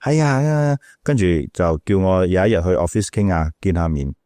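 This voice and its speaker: Middle aged guy, malaysian cantonese accent, dark low voice, dry close sound, casual storytelling, repeats words. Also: fairly quick, no echo. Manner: animated anecdotes, acting out quotes, repeating words. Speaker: radio DJ and podcast guest.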